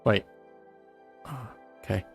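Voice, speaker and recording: A man casually commentating as he plays a video game on stream, muttering and thinking aloud. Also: slow. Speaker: livestreamer playing a video game. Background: game music and horse sounds.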